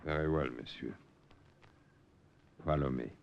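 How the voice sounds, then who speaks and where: Very deep, wary French Resistance man. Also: a little slow, gravelly, muffled recording, slight background noise, heavily acted. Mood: grim, polite. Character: wary, stern French Resistance farmer distrustful of Germans. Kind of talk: 1940s radio acting.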